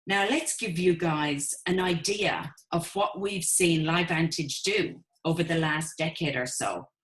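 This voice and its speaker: An Irish woman with a low voice presents to an online audience. She pitches with upbeat enthusiasm and keeps calling her listeners "guys.". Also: unhurried. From Ireland. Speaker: co-founder presenting a webinar.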